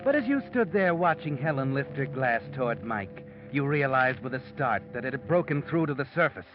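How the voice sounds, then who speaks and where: A man doing an ominous all-knowing male narrator, slow deliberate delivery, muffled old radio sound, music under it. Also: big pitch swings, close to an everyday voice. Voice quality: low, deliberate second-person narration. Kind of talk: 1940s radio drama narration.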